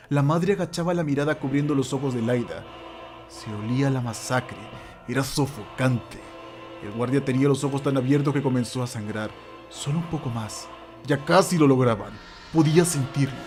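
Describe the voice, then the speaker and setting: A man narrating a horror radio drama from a script, theatrical and suspenseful, with dramatic pauses. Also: medium pitch, darkish tone.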